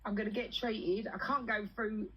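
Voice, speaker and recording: A woman's voice: medium pitch, steady tone, moderate pace, slightly rough, dull audio. A British woman chatting casually and venting in a blunt, sweary, colloquial way. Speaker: livestream host.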